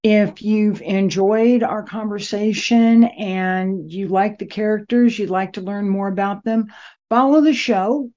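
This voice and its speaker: A woman hosting an interview in a conversational style, with halting, deliberate phrasing. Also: mid-range voice, dark tone. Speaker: interviewer, host. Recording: dull lo-fi audio.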